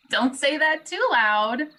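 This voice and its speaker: A woman acts a young sorority treasurer in a dramatic reading. Her voice is bright and slightly high, with a dry, self-deprecating manner. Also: heavily acted.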